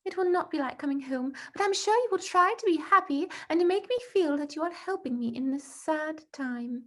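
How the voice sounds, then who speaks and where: A woman's voice: high-pitched, expressive, a little fast, fairly bright tone, pitched above the performer's own voice. A woman voicing a caring mother in a storybook, sad, tender and reassuring as she reads out her letter.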